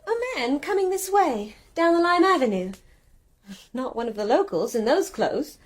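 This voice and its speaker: A woman acts an inquisitive wife in a radio ghost drama. Her high, very animated voice is earnest and probing, with an anxious edge to her questions. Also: normal speed. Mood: curious, watchful. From New York. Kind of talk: radio play acting.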